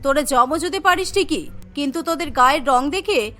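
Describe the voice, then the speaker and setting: A woman's voice: high-pitched, lively intonation, moderate pace, sharp tone, soft background music, voice pushed down. A woman voicing a harsh, suspicious female employer in a cartoon, scolding and accusing. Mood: angry, accusing. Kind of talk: cartoon story dialogue.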